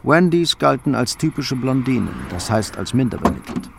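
A man's voice: medium pitch, expressive, moderate pace, creaky, noisy background. A man reading scripted narration as the storyteller of a radio crime drama.

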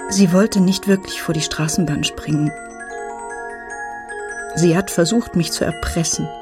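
A middle-aged mother played by an actress in a radio drama, weary and worried yet firm. Her voice is on the low side, with faint music at times as she talks and narrates. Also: fairly even intonation, measured pace, close to an everyday voice. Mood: bitter, hurt.

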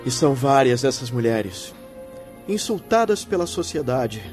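An actor playing a kindly, earnest spirit mentor in a radio drama, speaking in solemn, formal exhortation. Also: male voice, medium pitch, big pitch swings, a little slow, darkish tone, soft background music.

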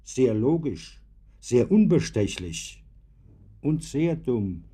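An actor playing an opinionated old professor in a German radio drama, emphatic, ironic and given to philosophizing. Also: male voice, mid-range voice, big pitch swings, slow pace, warm tone, husky, exaggerated voice. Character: opinionated old professor defending imagination over sober reason.

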